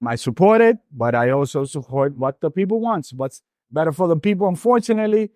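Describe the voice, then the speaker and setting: A man's voice: on the high side, lively intonation, a little fast, a little mellow, no room sound, studio quality. A man from Puerto Rico, hosting a podcast in a loose, emphatic, street-casual way at an outdoor street festival. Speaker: podcast host. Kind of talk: casual solo podcast monologue. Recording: faint festival music in the background. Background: faint music.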